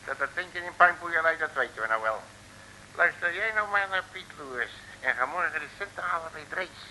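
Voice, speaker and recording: A sharp, high-voiced Dutch detective in a comic radio play. He is brash and slangy, swooping wildly as he grumbles and blusters. The old recording has a faint layer of noise. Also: animated, normal speed, poor audio. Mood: bossy, brash. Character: cheeky working-class male detective, brash, grumbling, hungry. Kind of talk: 1960s comic radio serial acting.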